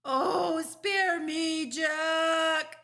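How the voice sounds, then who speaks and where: A woman's voice: extremely high pitch, fairly even intonation, extremely slow, bright, forceful tone, voice pushed up, exaggerated voice. A woman voicing a huge brutish male man-eating giant in a storybook, screeching and begging in terror. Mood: panicked, pleading. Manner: bellowing, screeching, pleading.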